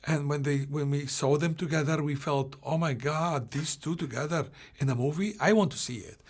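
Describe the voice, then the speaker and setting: Halting man with a slightly high voice. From Palestine. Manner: halting, searching for words, frequent restarts. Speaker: film director. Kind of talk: interview answers.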